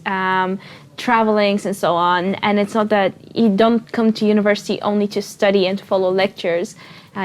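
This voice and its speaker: Young woman, mid-pitched, chatting. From Bulgaria. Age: 20s. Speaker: panel guest, recent graduate. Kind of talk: casual panel chat.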